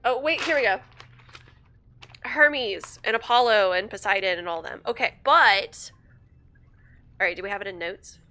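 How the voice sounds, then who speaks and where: A woman's voice: medium pitch, normal speed, pauses often, bright, forceful tone, slight background noise. A young woman streaming a game while sick, sniffling, chatty and upbeat, thinking aloud and laughing often. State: sick with a stuffy nose, sniffling. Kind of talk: casual gaming livestream chat.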